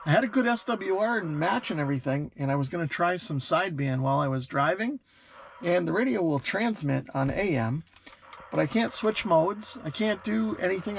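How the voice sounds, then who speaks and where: A man's voice: high-pitched, slight background noise. A middle-aged man with an American accent, talking conversationally and explaining things to camera as the host of a hobby vlog. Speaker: radio hobbyist video host.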